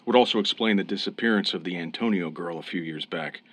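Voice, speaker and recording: An actor playing a calm, fair-minded police officer in a radio crime drama, measured and authoritative. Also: male voice, low voice. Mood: reasonable, firm. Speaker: police interrogator. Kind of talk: old-time radio crime drama acting.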